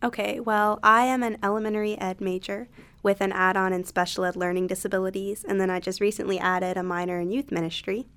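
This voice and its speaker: A woman's voice: medium pitch, little pitch movement, a little fast, a bit bright, clear, resonant tone. A young woman from North Carolina, a college student guest who speaks in a friendly, articulate way. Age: young adult. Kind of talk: casual chat.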